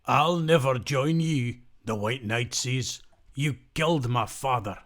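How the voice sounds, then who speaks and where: A man's voice: mid-range voice, slow, fairly bright tone. A man acting blunt male survivor, wry, loyal sidekick, audio drama delivery. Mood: defiant.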